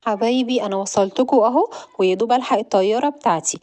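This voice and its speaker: A caring mother, rushed and on her way out, gives her children a quick run of instructions, voiced by a woman. She talks at a fast clip, and the sound is dry and close. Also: a little high, mellow, no echo, natural voice. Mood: hurried. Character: hurried mother, caring and busy.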